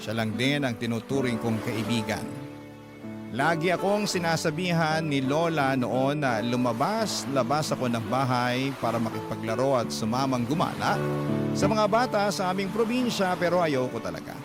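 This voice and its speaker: Radio drama narrator: a man reading a first-person story at medium pitch, with music behind. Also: expressive, moderate pace. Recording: music under the voice.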